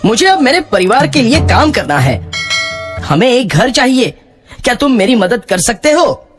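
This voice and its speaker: A man's voice: extremely high pitch, very animated, measured pace, music in the background, pitched above the performer's own voice, heavily acted. A man voicing a self-centred, demanding young male villager in a children's cartoon story, with a whiny manner.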